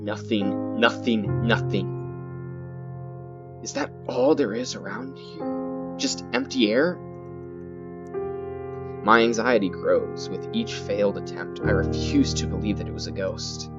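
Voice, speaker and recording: A man reading horror game text aloud in a theatrical, halting way, repeating words and adding his own comments. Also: lowish voice. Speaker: gaming video host. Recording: dull lo-fi sound with loud music. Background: loud guitar music.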